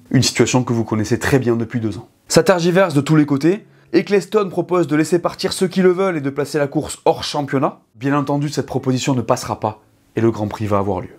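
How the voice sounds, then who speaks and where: A man's voice: mid-range voice, darkish tone. A man hosting a video, narrating a story in a conversational, emphatic way and addressing listeners directly. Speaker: YouTube host telling motorsport stories. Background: background music.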